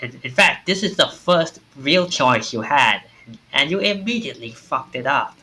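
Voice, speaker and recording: A man's voice: on the high side, expressive, normal speed, bright, forceful tone. A man voicing a mocking, theatrical game storyteller who scolds with mock politeness. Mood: mocking, exasperated. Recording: music under the voice.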